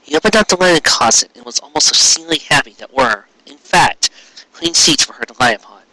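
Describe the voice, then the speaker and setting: A man narrating scripted fiction as a storyteller, with an expressive, dramatic and florid delivery. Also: fairly high pitch, brisk, poor audio.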